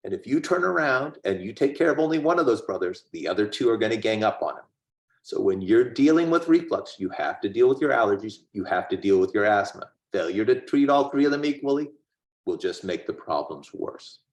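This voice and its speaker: A man with a fairly low voice gives a medical lecture as a gastroenterologist. He explains things in a plain, conversational way. The sound is roomy and echoey. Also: animated, brisk.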